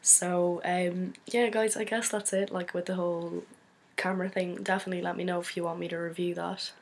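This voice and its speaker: A young woman from Donegal with an Irish accent, hoarse from a cold, chatting casually to her laptop webcam in a small bedroom and rambling with many fillers. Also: lowish voice, little pitch movement, brisk. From Donegal, Ireland. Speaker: photographer vlogger. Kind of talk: casual chat to camera.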